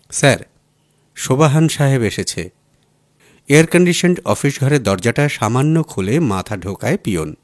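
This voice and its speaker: A man narrating a literary story as an audiobook reader, with expressive delivery. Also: on the low side, no room sound, studio quality.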